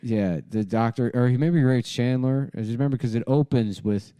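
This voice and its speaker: A man casually recounting a comedy script in a rambling, halting way and acting out its quoted lines. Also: mid-range voice, dark tone, clear, resonant tone, dry recording. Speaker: comedy podcast host.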